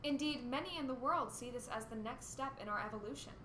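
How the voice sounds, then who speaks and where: A woman's voice: sits high, fast, pushed and bright. A woman acting as a cynical, irritable female radio co-host in an amateur radio play, with an exasperated, sardonic manner. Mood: presenting. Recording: roomy echo, faint background noise.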